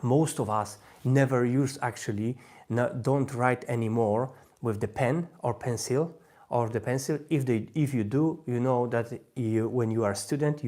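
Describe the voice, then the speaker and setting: Polish guy with an accent chatting on a livestream, mid-pitched voice, stops and rewords a lot. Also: dark tone. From Poland. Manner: halting, repeats and rephrases phrases. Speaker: host, language learner giving advice. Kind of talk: informal livestream talk on language learning.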